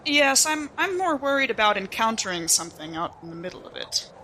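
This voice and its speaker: A woman's voice: a little high, sing-song, a little fast, pushed and bright, slight background noise, rough recording. A woman playing a tabletop roleplaying game, chatting casually in a playful, joking way. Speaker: player.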